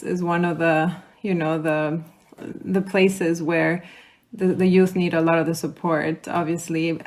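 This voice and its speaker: A woman hosting a podcast, interviewing her guests in a conversational way. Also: fairly low pitch. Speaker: podcast host.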